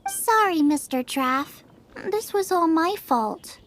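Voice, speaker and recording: A woman's voice: very high-pitched, animated, slow, a little hiss or hum, full character voice. A woman voicing a spirited, earnest and stubborn young girl chef in a video game. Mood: apologetic. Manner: earnest, bubbly, emphatic about cooking. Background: game music.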